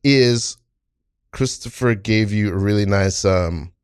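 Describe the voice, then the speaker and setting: A man giving casual, encouraging coaching as the host of an improv class podcast, speaking in a halting way. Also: lowish voice, clear, resonant tone, dry recording, studio quality. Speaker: improv teacher and show host.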